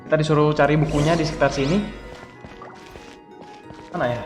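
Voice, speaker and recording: A young Indonesian man does casual gameplay commentary in a slightly high, quick voice. His voice rings with echo, and game music plays clearly behind him. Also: fairly even intonation. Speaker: gaming streamer. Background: video game music.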